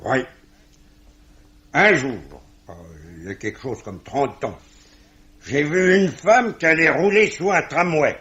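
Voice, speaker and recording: A man's voice: a little high, lively intonation, a little slow, long pauses, pushed and bright. An actor playing a proud, pugnacious, good-hearted middle-aged Irish father, talking colloquially in a 1950s-style French radio drama. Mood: solemn, blustering. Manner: colloquial, hesitant blustering. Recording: dull vintage radio recording.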